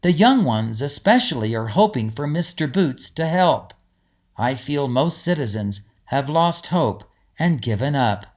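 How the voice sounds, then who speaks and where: A man voicing a kindly, encouraging woman in a storybook, with a gentle, reassuring way of explaining things. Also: rumbling low, animated, measured pace. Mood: earnest. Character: kindly, encouraging woman guiding a young princess. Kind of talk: storybook character dialogue.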